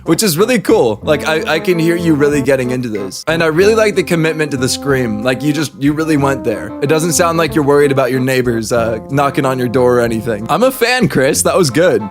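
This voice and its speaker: A man's voice: mid-range voice, expressive, brisk, few pauses, music in the background. A man hosting casual reaction commentary and judging auditions in a playful, candid, teasing way. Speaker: video host judging voice auditions.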